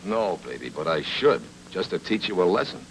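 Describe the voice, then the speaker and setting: Casting: tough noir private eye, low but lively delivery, terse sardonic slang, radio drama. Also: male voice, moderate pace, a little hiss or hum, poor audio. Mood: wry, probing. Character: wry, tough hardboiled private investigator.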